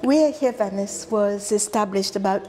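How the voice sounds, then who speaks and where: A middle-aged woman who grew up in London, explaining environmental work in a relaxed sit-down interview, earnest and measured. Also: medium pitch. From South Africa, raised in London. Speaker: environmental scientist, interview guest. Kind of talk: conversational interview. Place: hotel lounge, seated on a sofa indoors.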